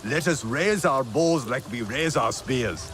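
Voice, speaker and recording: A man's voice: mid-range voice, expressive, a little slow, fairly bright tone. A man playing a hearty, grandiose alien clansman in a video game, jovial and declamatory.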